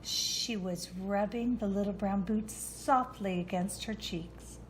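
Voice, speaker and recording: A woman reading a children's storybook aloud in a deliberate way. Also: medium pitch, a little hiss or hum, low-quality recording. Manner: slow, deliberate narration. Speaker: storybook reader.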